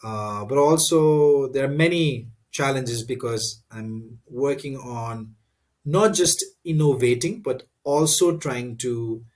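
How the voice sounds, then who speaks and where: A man, a guest in an interview, answering thoughtfully with many pauses, fillers and restarts. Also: medium pitch, a little mellow.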